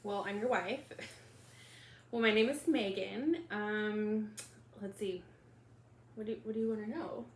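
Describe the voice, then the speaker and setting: A woman's voice: mid-range voice, steady tone, a little slow, long pauses. A woman in her thirties from Texas co-hosting a casual podcast, talking in a playful, joking way. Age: 30s. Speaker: podcast co-host. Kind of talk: casual chat. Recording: echoey room, faint noise.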